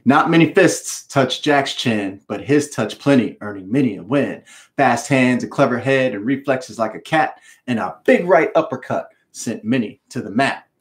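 A middle-aged American man giving an expressive storybook read-aloud with explanatory asides, speaking emphatically with dramatic pauses. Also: mid-range voice. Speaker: author reading his book aloud. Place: home room with bookshelves, indoors.

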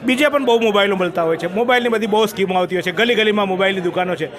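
High-pitched, fast-talking chatty reporter man. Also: a crowd in the background. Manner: chatty, salesy, jokes with viewers. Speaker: local news reporter. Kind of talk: on-the-spot promotional report. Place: crowded mobile shop, indoors. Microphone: handheld reporter mic.

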